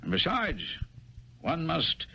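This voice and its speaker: An actor playing a pompous, fussy, tight-fisted government superior, stammering with disdain in a radio drama. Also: male voice, fairly high pitch, huge pitch swings, slow pace, creaky, bright, forceful tone, a little hiss or hum, full character voice. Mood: haughty. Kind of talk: 1970s radio drama acting. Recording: dull, lo-fi 1970s radio recording.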